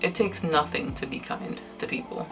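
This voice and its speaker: A woman's voice: sits low, moderate pace, vocal fry, music in the background. A tired young woman from Trinidad and Tobago with a light Trinidadian accent, chatting candidly to her camera while she does her makeup at home, with lots of fillers and restarts. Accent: Trinidadian English, lightly. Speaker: vlogger. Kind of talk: casual chat to camera while doing makeup. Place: indoors at home, night.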